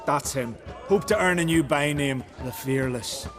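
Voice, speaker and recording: An actor playing a hearty tavern keeper in a fantasy video game, telling tales in a folksy, animated way. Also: male voice, fairly high pitch, a little slow, music in the background, lightly acted. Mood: amused, chatty. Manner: folksy, animated storytelling with archaic dialect words. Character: hearty male island tavern keeper, fond storyteller.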